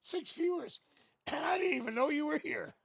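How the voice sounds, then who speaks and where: A man with a raspy voice hosting a casual live tarot stream, chatting playfully and joking through a new studio microphone. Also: very high voice, expressive, moderate pace, fairly bright tone, no room sound.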